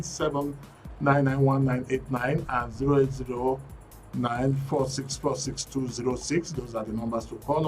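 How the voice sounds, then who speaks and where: A man's voice: medium pitch, poor audio. A Nigerian man hosting a radio call-in talk show, greeting callers and reading out the phone lines. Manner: brisk, reads out phone numbers and greets callers.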